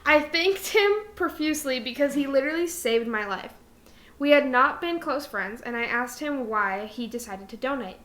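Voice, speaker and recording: A woman's voice: sits high, expressive, moderate pace, fairly bright tone. A young adult woman co-hosting a casual chat podcast, reading aloud and breaking off into irreverent, giggly joking asides. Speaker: podcast co-host.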